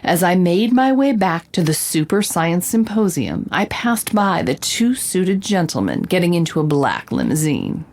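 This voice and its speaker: A woman playing an inquisitive reporter who narrates her case like a noir sleuth, in deliberate dramatic audio drama style. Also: fairly low pitch, big pitch swings, darkish tone, heavily acted. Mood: deliberating.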